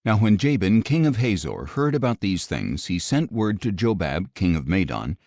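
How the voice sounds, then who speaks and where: A man narrating scripture as a scripted audiobook, reading in a measured, solemn manner. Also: sits low, big pitch swings, a little mellow, no room sound, studio quality.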